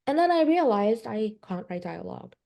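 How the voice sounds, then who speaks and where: A woman's voice: fairly high pitch, sing-song, normal speed, creaky, mellow. A young woman from Korea who speaks English with a British accent tinged with Californian, thinking aloud as a podcast guest. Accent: British English with a slight Californian tinge. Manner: thoughtful, hedging with frequent "like" and restarts. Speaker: guest concept artist. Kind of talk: casual podcast conversation.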